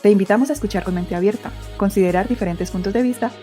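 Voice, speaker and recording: A woman's voice: medium pitch, fast, dark tone, soft background music. A woman hosting a podcast, opening the show and asking friendly questions. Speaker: podcast host.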